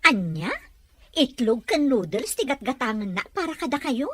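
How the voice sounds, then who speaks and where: Woman acting a concerned neighbor with lively, high intonation. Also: normal speed, slight background noise. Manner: questioning, sympathetic. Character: concerned neighbor woman, kindly and frank toward children. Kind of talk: Ilocano audio drama acting.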